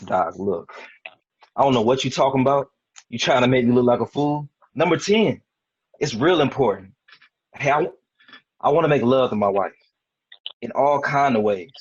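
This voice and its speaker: A man's voice: medium pitch, lively intonation, normal speed, pauses often, husky, muffled recording. A man playing a defensive, frustrated young husband in a dramatic table read, arguing in a colloquial way. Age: young adult. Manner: argumentative, colloquial.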